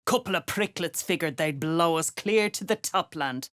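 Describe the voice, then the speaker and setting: A woman playing a gruff, foul-mouthed female duergar overseer in a video game, curt and contemptuous. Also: on the low side, expressive, moderate pace, a bit bright. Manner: curt, cursing, contemptuous slang. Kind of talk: video game character dialogue.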